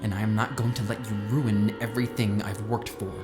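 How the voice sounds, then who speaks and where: A man's voice: lowish voice, flat delivery, a little fast, loud music behind the voice, natural voice. Controlling, self-important male astronaut, played by an actor. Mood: dismissive, angry. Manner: insistent, dismissive. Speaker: actor in a musical audio drama. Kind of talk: audio drama acting.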